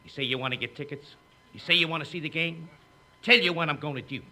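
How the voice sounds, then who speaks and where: A man's voice: on the high side, quick pace, pushed and bright. An actor playing a brash male ticket scalper with slangy hustler patter in a 1950s radio comedy. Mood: pushy, sly.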